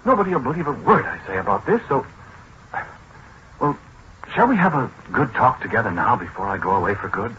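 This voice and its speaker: Flustered washed-up man, stammery n wistful, swoopy highish voice, old muffled radio drama. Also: sing-song, fairly quick, long pauses, a bit bright. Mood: resigned, wistful. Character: washed-up, flustered man confiding in his machine. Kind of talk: 1940s radio drama acting. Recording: muffled, noisy 1940s radio recording.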